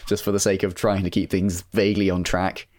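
A man's voice: deep voice, level tone, fairly quick, a little mellow. A man hosting a casual podcast, steering the conversation and reading out listener messages. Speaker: podcast host.

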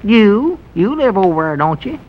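A high-pitched, plain-spoken old country storekeeper with a slow, teasing drawl. The recording is dull and muffled, with a faint hiss behind the voice. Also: male voice, sing-song. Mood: needling. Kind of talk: 1930s-50s radio comedy acting.

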